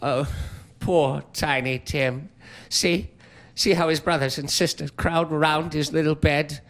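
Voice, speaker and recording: A man's voice: fairly high pitch, fairly even intonation, slow, fairly bright tone. A man playing a frightened old miser, pleading theatrically with a spirit in stammering, exclamatory speech. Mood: sorrowful, pleading. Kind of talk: dramatic stage-style monologue.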